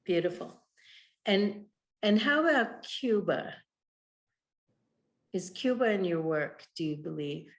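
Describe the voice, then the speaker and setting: Slow, low-pitched woman interviewer. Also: animated. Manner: thoughtful, measured, frequent "you know". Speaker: interviewer, writer and curator. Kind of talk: interview questions. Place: home in the Southwest. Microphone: video call.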